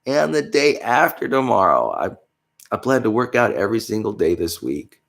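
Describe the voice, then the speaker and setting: A man's voice: fairly low pitch, very animated, moderate pace, creaky, fairly bright tone. A man vlogging in a rambling, casual way, congested with a stuffy nose, with many fillers and small chuckles.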